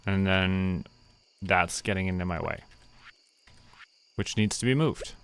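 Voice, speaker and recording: A young man streaming a farming game, relaxed, muttering to himself and counting aloud. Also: low voice, takes it slowly, a little hiss or hum. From Canada. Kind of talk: relaxed gameplay commentary.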